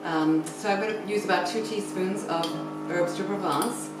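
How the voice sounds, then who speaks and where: A middle-aged woman with a low voice talks through a recipe as a home cooking host. She is recorded at a distance in a very echoey kitchen and explains each step casually. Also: normal speed, loud music behind the voice. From Zimbabwe. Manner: instructive, talking while cooking. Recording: very echoey kitchen, distant mic, faint noise.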